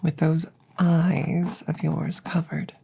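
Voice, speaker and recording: A woman voicing a calm, curious plant-like alien scientist in an ASMR audio roleplay, whispering softly and close with precise, formal, detached phrasing. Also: low voice, slow pace, a little hiss or hum, exaggerated voice. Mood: clinical, calm. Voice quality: soft, breathy whispering. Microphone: close microphone.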